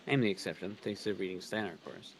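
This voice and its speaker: A man with a fairly low voice reads a visual novel on stream. He talks quickly and adds casual commentary as he goes. There is faint noise behind him. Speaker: co-reader on a game livestream.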